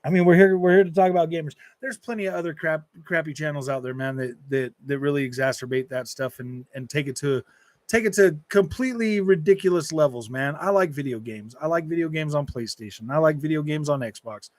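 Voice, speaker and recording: A man's voice: fairly high pitch, a little fast, no room sound. A man in his early forties chatting casually as a gaming streamer, rambling and repeating himself with lots of dude, man and bro. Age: early 40s. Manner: rambling, repetitive, slangy, calls listeners dude, man and bro. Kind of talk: casual livestream chat, answering and reading out viewer comments.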